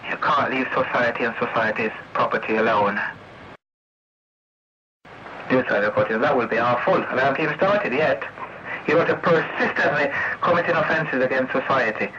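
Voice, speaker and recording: A man's voice: medium pitch, steady tone, fast talker. An angry English man ranting confrontationally and repetitively over CB radio, swearing often. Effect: CB radio transmission. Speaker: CB radio user. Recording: noisy, muffled vintage CB radio recording.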